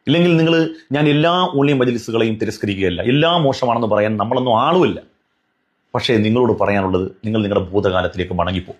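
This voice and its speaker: A man's voice: lowish voice, quick pace. A man giving an admonishing religious commentary, earnest and pleading, driving points home with repetition and rhetorical questions.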